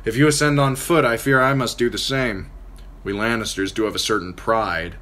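A man's voice: lowish voice, steady tone, moderate pace, sharp tone, voice pushed up. A man voicing a witty, mocking dwarf lord in an audiobook reading, with an arch, theatrical manner. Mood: wry.

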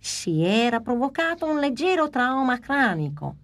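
Woman narrator, children's cartoon, calm measured delivery. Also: fairly high pitch. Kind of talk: scripted storybook narration.

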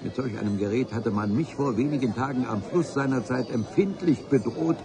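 Ein Schauspieler spielt einen hochtrabenden Abenteurer, der im Hörspiel feierlich und wortreich von seiner Expedition erzählt. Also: male voice, mid-range voice, normal speed, crowd noise, close to an everyday voice. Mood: grandiloquent. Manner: pompous, ornate storytelling. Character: grandiloquent male adventurer recounting his expedition. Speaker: narrator. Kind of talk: dramatic first-person radio play narration.

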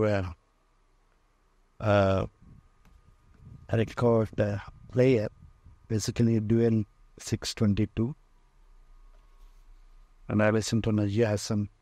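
A low-voiced man with an Indian accent walks through his collection as a tour guide. His delivery is slow and halting, in short phrases. The tone is dark and soft-edged. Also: little pitch movement, no echo. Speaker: museum owner and radio restorer giving a tour. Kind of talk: unscripted walk-through tour commentary. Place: indoor room lined with shelves of old radios.

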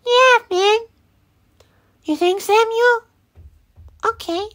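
An excitable, chirpy cartoon character, acted by an amateur woman. The voice sits high and bright, and the lines come slowly, with pitch that jumps about. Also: heavily acted. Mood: excited. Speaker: amateur voice actor.

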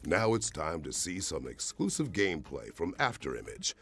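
A man's voice: rumbling low, very animated, moderate pace, fairly bright tone. A man reading scripted narration as the announcer of a showcase.

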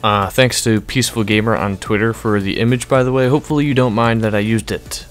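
Male gaming YouTuber, lower voice, conversational explainer with punchy emphasis, music underneath. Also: steady tone, brisk. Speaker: video game news YouTuber. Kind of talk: casual gaming news commentary over gameplay. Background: background music under the voice-over.

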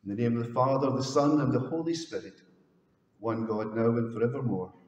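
An older man, a minister from Scotland, preaching a slow, solemn sermon with measured pauses into a headset mic in a large stone church. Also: mid-range voice, a little mellow, distant mic, lo-fi sound. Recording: echoey church hall from afar.

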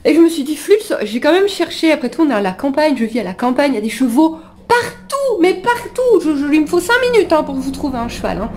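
High, mellow-voiced woman with sniffles. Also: sing-song, fairly quick. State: slightly ill with sniffles. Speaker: animal vlogger. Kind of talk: casual personal storytelling to camera. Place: living room at home, indoors.

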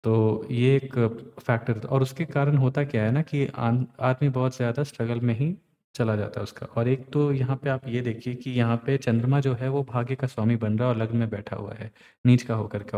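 Man, astrologer teaching, mellow voice, long reverb, on words. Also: mid-range voice. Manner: explanatory, mixes English phrases into Hindi. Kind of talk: screen-recorded chart analysis. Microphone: voice-over on screen recording.

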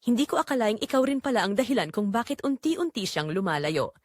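Hurt wife for radio-style drama, woman narrator acting, quiet reproach, restrained temper, dry close sound. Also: fairly high pitch, very animated, fast talker, no room sound. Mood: wounded restraint. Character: hurt, restrained wife confronting her husband and caregiver.

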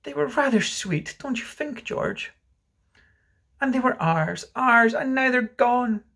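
A sweet, placid storybook mother, as a male reader puts her on, pitched well up high. The manner is tender and sentimental, with a soft, wistful delivery. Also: big pitch swings, unhurried, slightly rough, exaggerated voice. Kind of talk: storybook character voice.

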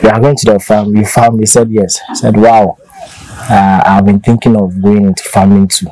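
A man's voice: fairly low pitch, level tone, normal speed, dark tone, no echo, low-quality recording. A man in his thirties from Ghana, a farmer telling his story in a casual interview, easygoing and restarting often, recorded outdoors under a thatched shelter on a lapel mic.

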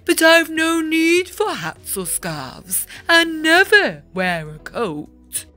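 A woman voicing a calm, matter-of-fact male polar bear in a storybook reading. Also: very high-pitched, takes it slowly, faint music behind, voice pushed up, exaggerated voice.

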